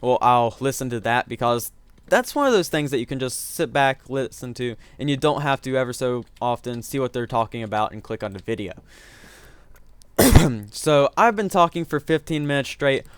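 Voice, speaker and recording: A man's voice: mid-range voice, animated, moderate pace, smooth, no echo. A man giving rambling, self-correcting let's-play commentary, his voice strained from getting sick. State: voice strained from illness. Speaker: gaming commentator.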